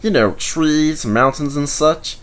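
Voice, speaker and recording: A man's voice: fairly high pitch, takes it slowly, clear, resonant tone, voice pushed up. A man voicing a small, easily offended engine in a children's story, plaintive and fussy. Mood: defensive.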